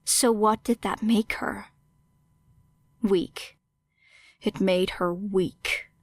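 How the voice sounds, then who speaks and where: A woman reading scripted dramatic fiction as an audiobook narrator, measured and expressive. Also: mid-range voice, dry recording.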